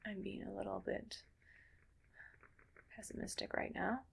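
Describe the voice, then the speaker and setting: A woman playing a gentle, weary cyborg soldier in a whispered ASMR roleplay, speaking breathily in slow, halting, soothing phrases. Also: medium pitch, flat delivery, gravelly, a little hiss or hum. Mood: weary, pessimistic.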